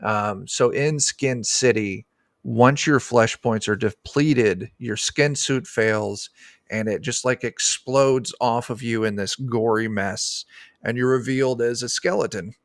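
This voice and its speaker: A middle-aged man talks in an informal, rambling and enthusiastic way. His voice has an ordinary male pitch and is recorded dry, as on an indoor video call. He sounds like a designer explaining his game. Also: takes it slowly, no echo. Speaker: game designer, podcast guest. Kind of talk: casual explanatory chat about a tabletop game.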